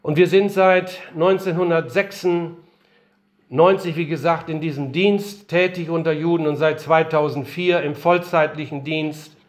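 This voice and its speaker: A man's voice: on the high side, steady tone, a little slow. An older man giving an earnest, emphatic lecture-style sermon in a conference room, his voice a little strained from being unwell. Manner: earnest, emphatic, rhetorical questions. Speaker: missionary speaker.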